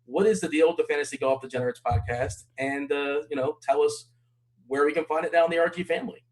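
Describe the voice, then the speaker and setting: A man's voice: a little high, steady tone, quick pace, rough recording. A man hosting a casual interview, asking questions in an upbeat, playful way. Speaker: host.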